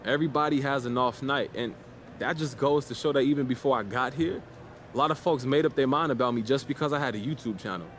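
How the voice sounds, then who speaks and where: An actor playing a confident, proud young American basketball player, talking in casual slang in a video game scene. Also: male voice, medium pitch, fast, slight background noise, natural voice. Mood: defiant, confident. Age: young adult. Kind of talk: naturalistic video game cutscene acting.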